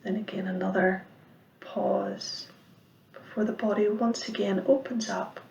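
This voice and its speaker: Creaky-voiced woman guiding a meditation. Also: medium pitch, steady tone, muffled recording, a little hiss or hum. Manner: measured, gentle delivery with long pauses. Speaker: mindfulness teacher. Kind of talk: guided meditation instruction. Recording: roomy, echoing sound.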